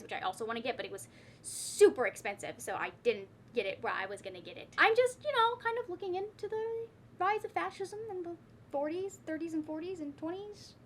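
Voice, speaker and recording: Girl vlogger, really high bright voice, rambly chatty with wry little asides, bit of background noise. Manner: rambling, self-correcting, playfully wry asides. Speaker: book vlogger. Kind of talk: casual chatty book talk to camera.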